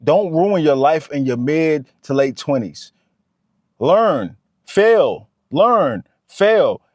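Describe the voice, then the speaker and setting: A man's voice: a little high, big pitch swings, slow pace, a little mellow, dry recording, clean studio sound. A man, giving a motivational talk to camera. He talks straight to the viewer and repeats his points. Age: 30s. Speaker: vlogger.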